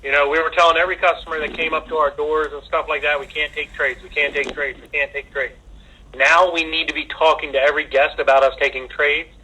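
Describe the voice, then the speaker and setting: A man with a bright, forceful and somewhat husky voice briefs staff informally as a manager. His voice comes over a tinny conference phone line. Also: a little high, flat delivery, fast. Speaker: retail manager leading a staff conference call.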